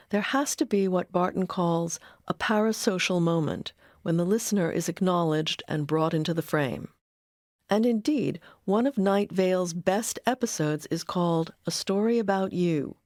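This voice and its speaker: In a dry studio sound, a woman narrates a documentary from a script. Her voice sits on the low side, and her delivery is measured and articulate. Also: a little mellow, no echo.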